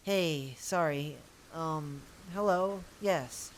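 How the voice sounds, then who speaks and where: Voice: medium pitch, drawn-out words, slight background noise, voice pushed up. A performer voicing a timid, bewildered human scout in an audio drama, hesitant and apologetic. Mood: flustered.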